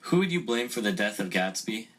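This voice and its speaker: An earnest young questioner, played by a young man with a bright, husky voice. He presses his questions firmly and politely. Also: lowish voice, sing-song, brisk. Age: young adult.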